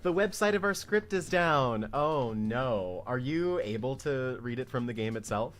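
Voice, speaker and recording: Man with widely swinging pitch, chatting casually. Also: animated, measured pace, a bit bright, faint music behind. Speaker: co-host narrating a game read-along. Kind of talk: casual chat between script readings.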